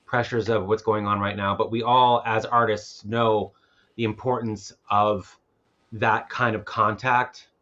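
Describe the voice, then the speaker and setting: A man's voice: lowish voice, a bit bright, highs cut off. A man speaking casually as a filmmaker and festival organizer on a panel, rambling and often repeating words as he goes.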